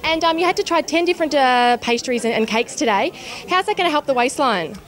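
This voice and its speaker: A woman's voice: sits high, lively intonation, brisk. A woman with an Australian accent working as a television reporter, giving news reports and asking interview questions on location.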